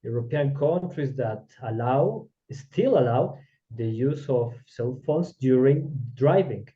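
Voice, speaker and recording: A man whose first language is Spanish, answering in an online class in a halting, hesitant way. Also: medium pitch, expressive. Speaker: student. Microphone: video call. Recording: muffled lo-fi call audio.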